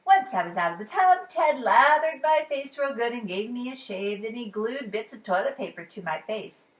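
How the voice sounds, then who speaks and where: A woman reading a children's story aloud as expressive storytime narration, animated and with dramatic pauses. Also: fairly high pitch. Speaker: storybook reader.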